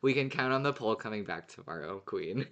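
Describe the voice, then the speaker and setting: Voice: medium pitch, animated, a little fast, fairly bright tone, muffled recording. A podcast co-host chatting casually in an amused, giggly way about a favourite show. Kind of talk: casual fan podcast chat.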